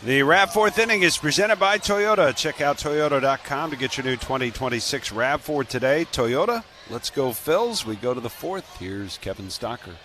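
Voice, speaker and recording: A man's voice: mid-range voice, expressive, moderate pace, hardly any pauses, sharp tone, a little hiss or hum. An American man giving live baseball radio commentary and reading promos. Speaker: baseball radio play-by-play announcer and analyst.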